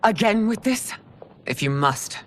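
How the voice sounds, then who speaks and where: A woman's voice: medium pitch, sing-song, measured pace, a little hiss or hum. A woman playing a stern, regal, mature empress in a video game, speaking formally and with command. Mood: stern, displeased. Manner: formal, imperious. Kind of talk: dramatic video game acting.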